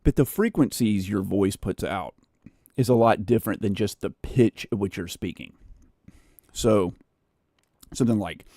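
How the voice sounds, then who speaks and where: A man with a naturally bassy voice chatting casually and in a rambling way as a livestream host, close on a studio condenser microphone. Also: measured pace, long pauses, warm tone, dry recording. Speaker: livestream host editing a video. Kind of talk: casual livestream chat while editing.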